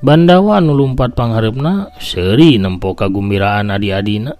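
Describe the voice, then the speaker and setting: A man's voice: on the low side, animated, moderate pace, darkish tone, no echo, faint music behind. A man with a Sundanese accent narrating a scripted folk tale in a dramatic, theatrical storytelling style. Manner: theatrical, with voiced sound effects.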